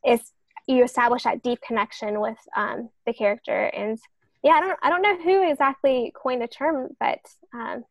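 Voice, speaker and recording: A woman's voice: fairly high pitch, moderate pace, dry recording, lo-fi sound. A woman on a podcast panel explaining conversationally, in an earnest and somewhat hesitant manner.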